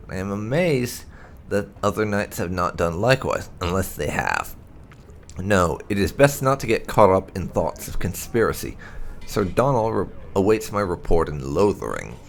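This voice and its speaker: A man with a gravelly voice reading fantasy game lore aloud in a measured, solemn storytelling manner. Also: fairly low pitch, slight background noise. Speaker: gaming channel host.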